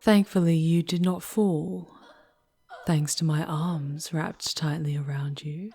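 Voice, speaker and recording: A woman playing a charming, teasing demon guide in an ASMR roleplay, speaking intimately in formal, old-fashioned phrases with a breathy, often whispery voice. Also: sits low, steady tone, mellow, full character voice. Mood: teasing, affectionate. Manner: slow, formal, old-fashioned storybook diction.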